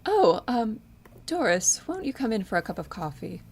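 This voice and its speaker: A woman's voice: fairly high pitch, big pitch swings, normal speed, darkish tone, husky. A woman playing a proper, hesitant 1950s housewife, eager to please, in an audio drama. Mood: chatty, awkward.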